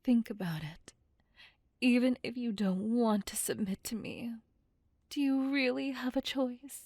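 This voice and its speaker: A woman's voice: a little high, measured pace, darkish tone, dry recording, clean studio sound. A woman voicing a possessive, dominant vampire seductress in an intimate ASMR roleplay, teasing and commanding as she drifts into whispers. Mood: smug, whispering. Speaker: voice actor.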